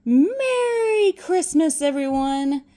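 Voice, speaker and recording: A hearty young man played by a woman from a storybook. He is a cheerful nephew, pitched high, eagerly coaxing with warm invitations. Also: animated, takes it slowly. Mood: cheerful, inviting.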